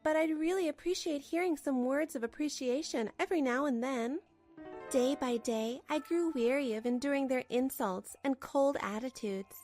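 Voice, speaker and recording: High-voiced, weary young housewife, acted by a woman. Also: normal speed, a little mellow, soft background music, close to an everyday voice. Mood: weary narration. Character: weary, put-upon housewife narrating her own troubles. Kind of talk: first-person scripted story narration, manga dub.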